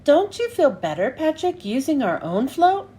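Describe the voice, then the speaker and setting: A woman voicing an earnest, excitable young male sponge character. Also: high-pitched, moderate pace. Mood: bright.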